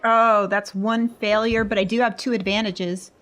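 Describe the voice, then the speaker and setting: A woman's voice: mid-range voice, steady tone, moderate pace, fairly bright tone. A woman with a mid-range voice speaks as a player at a tabletop game. She casually describes what her character does and finds.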